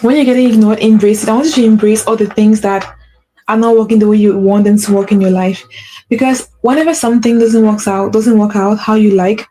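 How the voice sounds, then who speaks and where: Earnest woman coaching with gentle warmth. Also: mid-range voice, fairly even intonation, a little fast, rough recording. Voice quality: mentions having a stutter. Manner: earnest, repetitive, with frequent "right?" check-ins and self-corrections. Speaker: podcast host and spiritual coach. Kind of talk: solo motivational talk.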